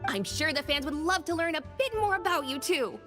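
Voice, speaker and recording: A persistent, upbeat reporter whose high voice swings widely. She keeps pressing for answers. Music is clearly audible behind. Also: lively intonation, a little fast. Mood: probing. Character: persistent female reporter, probing questions. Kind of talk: game voice acting. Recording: game dialogue with music behind.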